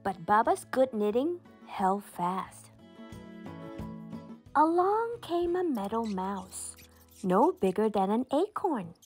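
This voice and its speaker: A woman reading a children's picture book aloud as a storyteller, with expressive, dramatic and deliberate phrasing. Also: sits high.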